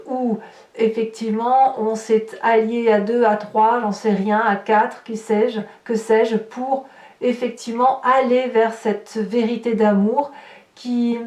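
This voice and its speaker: A woman's voice: fairly high pitch, darkish tone. A woman giving an improvised tarot reading straight to her listeners, explaining as she goes and searching for words aloud. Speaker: tarot reader, horoscope host. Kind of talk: improvised spoken tarot reading addressed to viewers. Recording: roomy echo, distant microphone.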